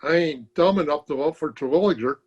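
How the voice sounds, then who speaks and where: A man acting a husky, folksy barber. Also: a little high, brisk, darkish tone. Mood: stubborn. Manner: folksy, colloquial, chuckling drollery. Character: folksy, wisecracking male barber, stubborn and henpecked. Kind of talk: old-time radio comedy acting. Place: home, recorded over video call. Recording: dull, lo-fi video-call recording.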